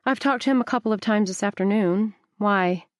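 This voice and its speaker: A woman reading the part of an earnest young woman who stubbornly defends her choices, her voice lifted a little higher. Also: brisk, no room sound, studio quality, close to an everyday voice. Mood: defensive.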